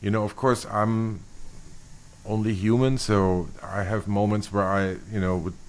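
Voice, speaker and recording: A middle-aged man from Austria, a bassist being interviewed, speaking thoughtfully with many restarts and fillers. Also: flat delivery, unhurried, a little mellow. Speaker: bassist guest. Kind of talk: interview conversation.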